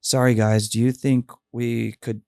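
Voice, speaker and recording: A middle-pitched man in a dry, close-miked studio, chatting casually as a podcast host. He tells wedding stories with animation and drops into quick voices for the people in them. His delivery is loose and conversational, with restarts as he gets going. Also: gravelly, no echo. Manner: animated storyteller, acts out quotes.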